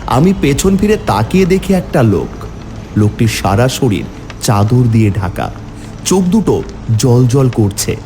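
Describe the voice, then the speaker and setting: A man's voice: medium pitch, sing-song, normal speed, music in the background. A man narrating a cartoon horror story in the first person, theatrical and exclamatory when voicing the dialogue.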